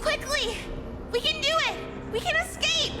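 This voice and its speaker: Game child role: brave young runaway slave, extremely high, urgent, pleading cries. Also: female voice, normal speed. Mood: urgent, hopeful. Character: desperate, brave little slave child on the run. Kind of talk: emotional video game acting. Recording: game dialogue under loud music.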